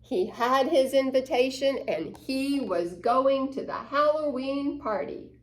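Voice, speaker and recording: Children's story reader, woman: lively read-aloud, gasps and asides, unhurried. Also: high-pitched, poor audio. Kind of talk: picture book read-aloud.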